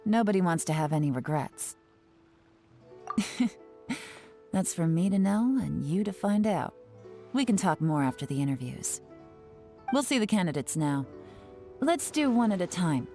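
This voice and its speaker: A woman voicing a calm, composed official in a video game, speaking in a measured, businesslike way. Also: fairly low pitch. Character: cool, composed woman official running assessments. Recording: game audio with faint music.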